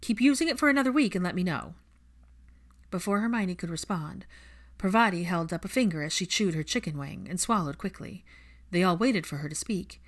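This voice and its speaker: A woman reading fiction aloud as an audiobook narrator, in scripted storytelling style. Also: low voice.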